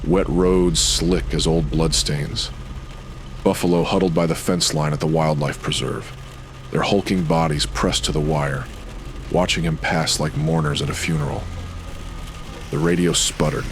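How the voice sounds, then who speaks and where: A man narrating a pulp noir audio drama in dramatic, brooding, measured phrases. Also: a bass voice, steady tone, slight background noise.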